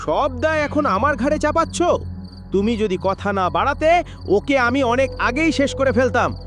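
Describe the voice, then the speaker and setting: A man voicing a hot-tempered, menacing middle-aged uncle turned ghoul with a distorted voice, in an animated horror story. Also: extremely high pitch, moderate pace.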